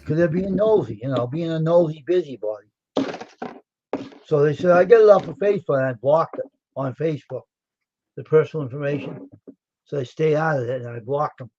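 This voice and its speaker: A man's voice: fairly high pitch, fairly quick, pauses often, mellow, highs cut off. A man chatting casually on a live stream, guarded and repetitive, often giving short agreements. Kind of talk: casual chat.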